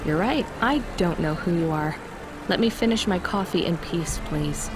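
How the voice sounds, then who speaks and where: A woman's voice: lowish voice, normal speed, music in the background, close to an everyday voice. A woman playing a weary, sardonic young immigrant courier in a video game, with a dry, guarded delivery. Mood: annoyed, sarcastic. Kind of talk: video game dialogue acting.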